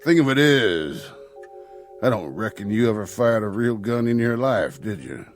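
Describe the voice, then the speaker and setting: An actor playing a sly, menacing Old West outlaw in an audio drama, with a gravelly American Western drawl and a folksy, needling way of talking. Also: male voice, fairly low pitch, very animated, moderate pace. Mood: mocking. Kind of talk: radio drama acting. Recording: music under the dialogue.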